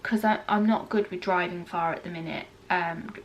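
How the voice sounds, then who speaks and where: A woman's voice: fairly low pitch, steady tone, moderate pace, a little hiss or hum. A British woman in her thirties with a cold, chatting casually to camera and telling a story with lots of ums and asides. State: congested with a cold. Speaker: vlogger.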